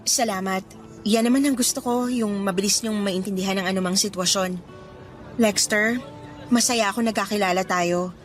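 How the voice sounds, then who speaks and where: A woman acting in a Filipino radio drama as a cheerful, gentle young woman letting down her suitors. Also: mid-range voice, fairly even intonation, normal speed, soft background music, close to an everyday voice. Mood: gentle, serious.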